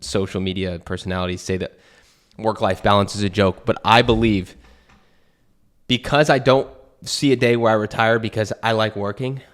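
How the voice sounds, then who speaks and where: A man's voice: lowish voice, steady tone, moderate pace. A young American man hosting a casual conversation, talking earnestly and thinking aloud with many fillers. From United States. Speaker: podcast host and new real estate agent. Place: Portugal.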